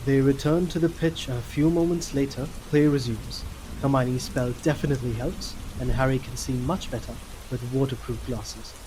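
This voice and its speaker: A man's voice: mid-range voice, fairly even intonation, moderate pace. A man narrating a scripted story for an audio drama. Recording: noisy, rain and thunder effects behind.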